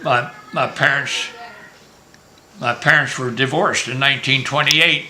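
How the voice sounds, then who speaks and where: A man's voice: mid-range voice, steady tone, measured pace, sharp tone, a little hiss or hum. An older man from Maryland, a grandfather telling his life story in a rambling, joking way during a casual family interview at home. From Maryland, USA.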